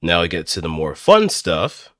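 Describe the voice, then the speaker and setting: A low, deep male voice with a very bright, forceful edge, recorded close and dry. He talks like a casual streamer, working out his thoughts aloud and making things up as he goes. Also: wildly swooping pitch, normal speed, dry recording. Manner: hesitant, thinking aloud, improvising as he goes. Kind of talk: casual rambling livestream commentary.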